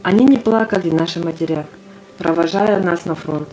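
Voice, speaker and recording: A woman's voice: lowish voice, moderate pace, dark tone, lightly acted, low-quality recording. An actress playing a naive, earnest seventeen-year-old girl who volunteers for war, in a theatrical audio drama. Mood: earnest remembering. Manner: earnest, stubbornly keen. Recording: music under the voice.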